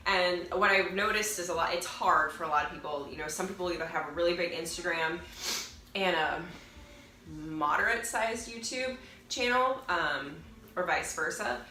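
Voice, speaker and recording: A woman's voice: fairly low pitch, sharp tone. Congested, nasal young woman vlogging. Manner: chatty, rambling, self-correcting. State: getting over a cold. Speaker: fitness vlogger. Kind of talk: casual chat to camera. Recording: roomy echo, faint noise.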